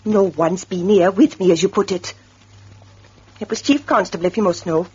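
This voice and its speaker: A woman playing a sharp-tongued, defiant farmer's wife with an English accent in a radio drama. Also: mid-range voice, moderate pace, husky. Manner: sharp-tongued, back-chatting. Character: defiant, flighty farmer's wife and former barmaid. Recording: muffled old radio recording.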